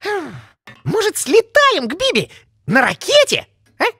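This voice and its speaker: Very high, bouncy young boy rabbit. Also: a little slow, soft background music, heavily acted. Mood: curious. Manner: colloquial, breezy, excitable. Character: lively, carefree young male rabbit, cheeky and curious. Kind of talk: animated cartoon dialogue.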